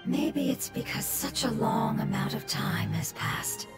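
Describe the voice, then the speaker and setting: A woman voicing a solemn, cautious fungus creature in a video game, with breathy, whispery, formal and measured speech. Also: fairly high pitch. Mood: grave. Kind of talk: video game character acting. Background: loud game music.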